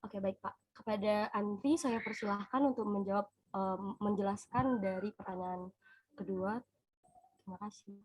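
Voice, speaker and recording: A woman's voice: mid-range voice, moderate pace, a little mellow, no room sound. A young woman moderating a class debate over a video call, polite and formal.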